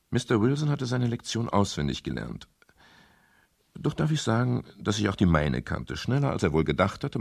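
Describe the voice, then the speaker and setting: An actor playing a wry, hard-boiled private detective, narrating his case in a dry, deliberate, ironic way for a radio crime drama. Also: sits low, gravelly, no room sound, full character voice. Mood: dryly ironic. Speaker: first-person narrator.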